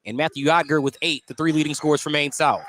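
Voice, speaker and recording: Male play-by-play radio announcer, basketball. Clearly high voice, detailed calls that lift on big plays, faint gym noise behind. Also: fast talker. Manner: excited on plays, detailed descriptive calling. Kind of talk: live high school basketball radio commentary.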